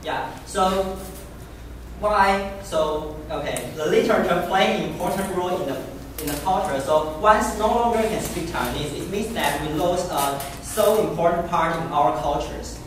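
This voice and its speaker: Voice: medium pitch, moderate pace. Echoey distant speaker. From Taiwan. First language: Taiwanese Hokkien. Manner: earnest, poses questions to the audience. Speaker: club speaker. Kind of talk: prepared speech to a live audience. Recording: very echoey room from a distance, noisy.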